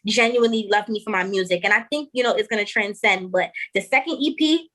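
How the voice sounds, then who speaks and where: A young woman with a Trinidadian accent, a rapper chatting quickly and laughing often as a guest on a video call. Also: mid-range voice, a bit bright. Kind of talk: casual interview chat.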